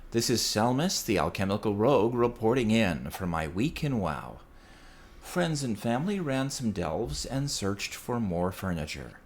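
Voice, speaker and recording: A man giving a scripted gaming report as a podcast contributor. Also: lowish voice.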